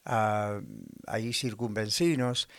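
A man's voice: fairly low pitch, takes it slowly, smooth, no room sound, clean studio sound. A man from Argentina preaching a sermon in a conversational way, full of rhetorical questions and imagined dialogue. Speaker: pastor.

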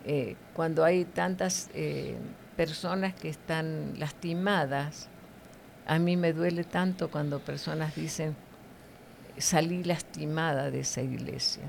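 A woman's voice: low-pitched, fairly even intonation, slow, creaky, a little mellow, a little hiss or hum. A middle-aged woman giving devotional teaching in conversation, didactic and often checking for agreement with short tag questions.

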